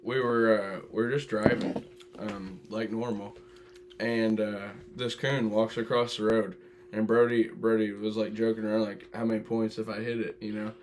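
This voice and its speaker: A man's voice: fairly low pitch, level tone, moderate pace, darkish tone. Young man, slightly noisy recording. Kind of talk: casual anecdotal storytelling with friends.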